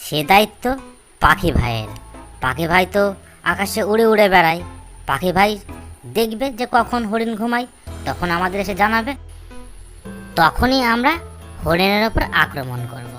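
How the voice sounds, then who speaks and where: A woman's voice: mid-range voice, very animated, moderate pace, long pauses, a bit bright, soft background music. A woman voicing a sly, scheming fox in a cartoon fable, talking in a persuasive, confiding way. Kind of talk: cartoon animal fable acting.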